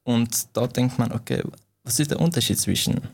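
A man's voice: medium pitch, moderate pace, gravelly, darkish tone, slightly rough. A young man who grew up in Iran, speaking German as an in an interview, hesitant and full of fillers and restarts. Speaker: guest, martial arts coach.

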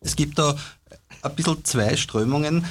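A man with a gravelly, husky voice explaining ideas as a guest in a discussion. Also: a little high, normal speed.

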